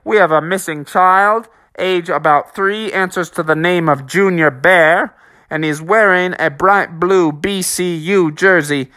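A man's voice: on the high side, pushed and bright, dry recording, clean studio sound. A man voicing a reassuring bear police officer in a children's story, official and folksy.

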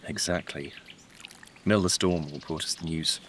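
A low-pitched resistance man in a wartime audio drama. He is wry, friendly and a little hesitant, keeping things understated. Faint birdsong and rural air sit behind the voice. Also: fairly even intonation, moderate pace, fairly bright tone, a little hiss or hum. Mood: hushed, serious. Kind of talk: naturalistic audio drama acting.

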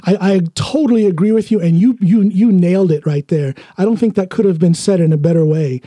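A man's voice: sits high, fairly quick, dark tone, no room sound. An American man hosting a podcast, chatting casually and bluntly, often laughing as he talks. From United States. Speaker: podcast host.

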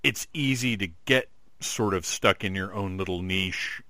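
A fairly low-voiced man hosts the interview in an easygoing way, often restarting his sentences as he feels out a question. His voice is close and dry, with little room sound. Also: unhurried, bright, forceful tone, no room sound. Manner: casual, restarts, searching for words. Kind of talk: conversational interview.

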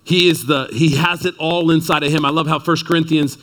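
A man in his thirties from Texas preaching a sermon to a seated congregation, building to excited peaks and dropping low and quiet. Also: brisk. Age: 30s. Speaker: pastor. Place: church before a seated congregation.

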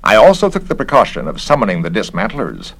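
A man's voice: fairly low pitch, wildly swooping pitch, fast talker, gravelly, full character voice. A man voicing a fussy, scornful government investigator in a storybook reading, with an agitated manner. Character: Garrett, fussy indignant male government censor and investigator.

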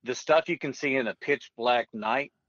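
A man's voice: medium pitch, normal speed, fairly bright tone, no room sound, dull audio. A middle-aged American man from Oklahoma telling a personal story as an interview guest in a folksy, rambling way. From northeastern Oklahoma, USA. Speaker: guest, retired Air Force veteran.

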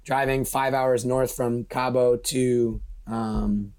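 A man's voice: medium pitch, steady tone, slow pace, darkish tone, clear, resonant tone. A man speaking as a podcast guest, explaining things in a casual, informal and enthusiastic way. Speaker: podcast guest, project member. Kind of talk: casual explanatory interview talk.

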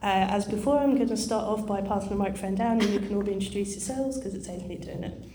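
A woman's voice: medium pitch, quick pace, noisy background. A woman moderating a book festival panel, introducing authors and asking questions in a friendly, chatty way. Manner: friendly, chatty, with filler ums. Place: hotel function room at a book festival. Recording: echoey hotel function room.

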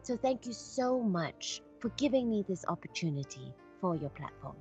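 A woman's voice: mid-range voice, sing-song, normal speed, mellow, faint music behind. A woman, a voice actor, giving an earnest testimonial on a home studio microphone. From Malaysia. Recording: dull lo-fi home studio recording.

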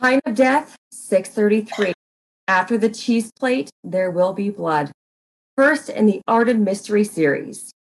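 A woman narrating a comic murder mystery in a deliberate, deadpan dramatic reading. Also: medium pitch, low-quality recording.

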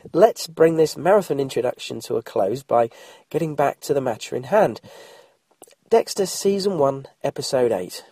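A middle-aged Englishman hosts a solo podcast in an informal, self-deprecating way. His voice is soft-edged and dark, husky and bunged up with a cold. The sound is very dry and close, with wry asides dropped into the chat. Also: medium pitch, no room sound. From England. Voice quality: congested, throaty. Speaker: podcast host. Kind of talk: chatty solo podcast introduction.

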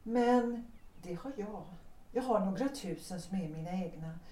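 A woman's voice: medium pitch, dark tone, natural voice. An actress with a Swedish accent playing an unhappily married woman who pleads earnestly with her young lover in an audio drama. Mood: intense. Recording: roomy, distant from the mic.

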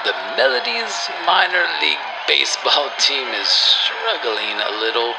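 A man playing a showy minor league baseball play-by-play announcer with a gravelly voice and loud ballpark noise behind. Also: medium pitch, very animated, unhurried, a loud crowd. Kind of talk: sports commentary in children's audio drama.

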